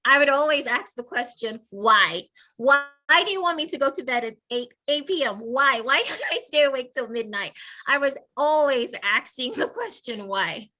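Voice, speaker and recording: A woman's voice: high-pitched, animated, moderate pace. A woman with a Nigerian accent, a faculty member, talking over a video call as an interview guest and telling stories with frequent laughs. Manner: warm storytelling with frequent laughs.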